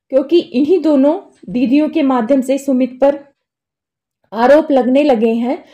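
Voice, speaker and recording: A woman with an Indian accent and a very high, soft-edged voice talks as an online commentator. Her delivery is casual and explanatory, building an argument step by step with rhetorical questions. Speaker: YouTube commentator.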